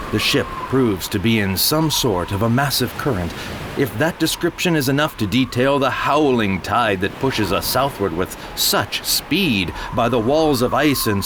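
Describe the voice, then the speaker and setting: A man's voice: medium pitch, lively intonation, measured pace, noisy background. A man narrating a first-person audio drama in a grave, theatrical manner. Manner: grave, theatrical, rising to frantic cries. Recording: music bed under the voice. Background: music.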